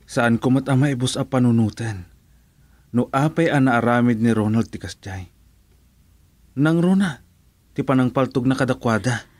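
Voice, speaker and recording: An actor with a Filipino accent playing an earnest, reasoning friend in a radio drama. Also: male voice, medium pitch, moderate pace, long pauses, a little mellow, no room sound, natural voice. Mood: consoling, earnest. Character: earnest, reasoning male friend urging forgiveness.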